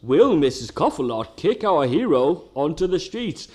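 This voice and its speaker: A man's voice: on the high side, very animated, unhurried, darkish tone. A man playing a mock-dramatic narrator of a comedic radio serial, theatrical in delivery. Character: melodramatic serial narrator teasing next week's episode.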